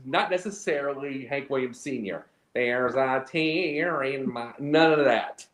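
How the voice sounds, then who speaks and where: Man, from Illinois, co-host, rambling storyteller, middle pitch, echoey room. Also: a little slow, a bit bright. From Quincy, Illinois, USA. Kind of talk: casual radio show chat. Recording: roomy echo.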